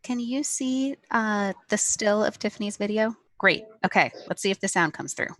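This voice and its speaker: A woman running the screen share on a video call, brisk and practical. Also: mid-range voice, animated, fairly bright tone. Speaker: meeting tech host.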